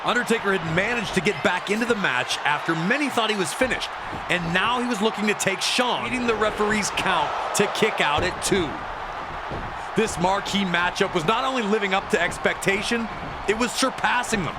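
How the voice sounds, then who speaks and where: A man's voice: high-pitched, moderate pace. A man narrating wrestling action from a script in a dramatic, emphatic manner. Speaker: sports narrator. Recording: loud crowd noise behind voice. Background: roaring arena crowd.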